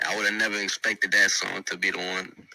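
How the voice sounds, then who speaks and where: A man's voice: low-pitched, level tone, normal speed, gravelly, sharp tone, dry recording, low-quality recording. A teenage male rapper from Venezuela answering interview questions in a laid-back, slangy way with mumbled restarts. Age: teens. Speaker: rapper guest.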